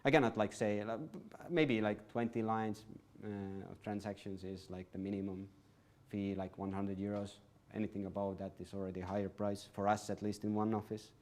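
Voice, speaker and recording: A man's voice: lowish voice, level tone, moderate pace, a little mellow. A man giving an informal presentation at a business event, talking casually with many fillers and self-corrections. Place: event venue, live audience.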